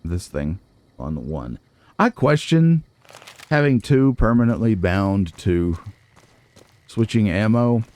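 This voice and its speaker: A man's voice: fairly low pitch, very animated, slow, pauses often, a little mellow. A man hosting a let's play, chatting casually and playfully to himself and viewers with folksy, wry asides. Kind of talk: casual game commentary, talking to himself and the viewers.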